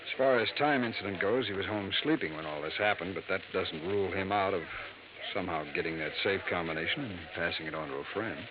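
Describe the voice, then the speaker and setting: An actor playing a weary, pragmatic American police lieutenant with a laconic manner, in 1950s radio drama style. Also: male voice, low voice, fast talker, lightly acted. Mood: weary, matter-of-fact. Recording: muffled, noisy vintage radio recording.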